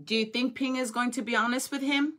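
A woman in her thirties talking to young students as an educator, expressive and encouraging. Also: on the high side, fairly quick. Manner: expressive, encouraging, addresses children directly. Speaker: school educator reading to students. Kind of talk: read-aloud and chat to children. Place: small school office or classroom, indoors. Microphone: phone at arm's length.

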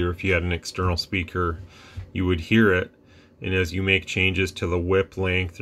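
A man casually explaining a radio on his workbench, relaxed and rambling with fillers and restarts. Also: deep voice. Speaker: radio reviewer. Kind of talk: casual product demo. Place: workbench room with radio gear.

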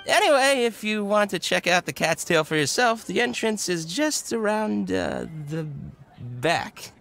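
A man's voice: high-pitched, sing-song, measured pace, gravelly, a bit bright, exaggerated voice. An actor playing a tipsy, friendly young man who rambles through game dialogue with slurred, hesitant delivery. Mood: tipsy, flustered. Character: tipsy friendly male tavern regular, rambling and smitten. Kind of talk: video game character dialogue. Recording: background music under the dialogue.

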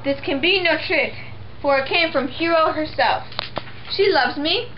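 A woman's voice: high-pitched, unhurried, dull audio, a little hiss or hum. A young woman reading several parts in a home puppet show of a classic play, in a plain, earnest way. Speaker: amateur sock-puppet performer. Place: indoor room behind a sheet backdrop.

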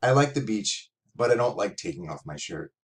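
A man's voice: on the low side, lively intonation, a little fast, lightly acted. Man reading as a self-conscious seventh-grade boy. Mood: reflective, earnest. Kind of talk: expressive reading of verse novel. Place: small room at home, indoors.

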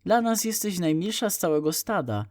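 Man as a young male lamb, raised pitch, kindly, loyal, encouraging, children's storybook helper. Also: sing-song, normal speed. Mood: reassuring. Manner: encouraging, eager.

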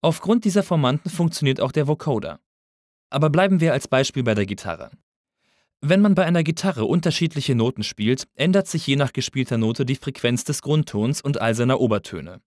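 A man reading a scripted tutorial as an instructor, in a measured, didactic manner. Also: medium pitch, no echo, clean studio sound. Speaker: audio engineering instructor. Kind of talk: scripted lesson narration.

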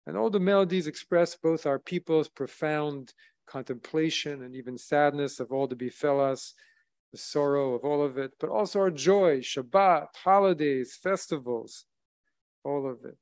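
A man in his thirties, a rabbi, delivering a sermon from a synagogue lectern with measured, solemn emphasis. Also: fairly high pitch, a little mellow. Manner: measured, solemn preaching with rising emphasis on key phrases. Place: synagogue sanctuary at a wooden lectern, indoors. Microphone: lectern microphone, streamed by video.

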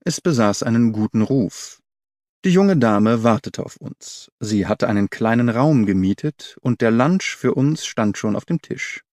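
Bright-voiced man narrating an audiobook, dry studio. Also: mid-range voice, lively intonation, a little slow, no echo. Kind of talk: scripted literary narration, audiobook reading.

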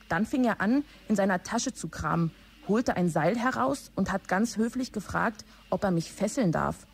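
A woman playing an aggrieved office assistant in a radio drama, confiding in a matter-of-fact way with a husky voice. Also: mid-range voice, slight background noise.

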